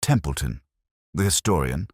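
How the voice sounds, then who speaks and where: A man's voice: extremely low pitch, expressive, normal speed, slightly rough, dry recording, studio quality, heavily acted. A man voicing an attentive, questioning companion to a detective in an audiobook story.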